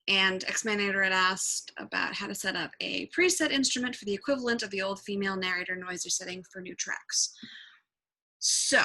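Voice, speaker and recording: A woman presenting a software tutorial in an informal, rambling way, with offhand asides. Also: medium pitch, rough recording. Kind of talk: casual screen-recording software tutorial.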